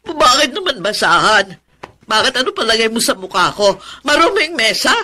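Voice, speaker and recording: An actress playing a touchy, self-pitying older aunt in a radio drama, plaintive and reproachful. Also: female voice, a little high, very animated, normal speed, pushed and bright, full character voice. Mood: sulky, hurt. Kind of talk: Filipino radio drama acting.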